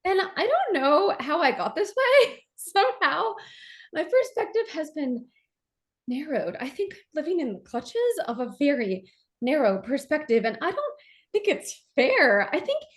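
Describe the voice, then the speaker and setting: A woman acting a dazed, fragile young woman in a staged play reading, halting and repeating herself nervously. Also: sits high, sing-song. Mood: self-pitying. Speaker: actor.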